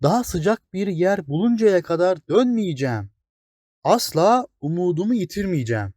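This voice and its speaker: A man's voice: high-pitched, very animated, normal speed, darkish tone, dry recording, studio quality, voice pushed up. A man voicing a small, brave, hopeful blade of grass in a children's fairy tale, with an earnest, determined manner.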